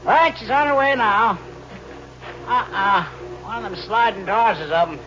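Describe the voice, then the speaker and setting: An actor playing a chatty railroad guard in a 1940s radio drama, with folksy working talk. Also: male voice, high-pitched, unhurried, loud music behind the voice. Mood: chatty, businesslike. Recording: muffled, slightly noisy old radio recording.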